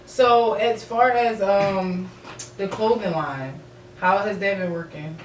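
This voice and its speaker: A woman's voice: medium pitch, slow. A woman chatting casually on a podcast in conversational, profane slang. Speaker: music artist, podcast guest. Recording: roomy, echoey, distant mic, background noise.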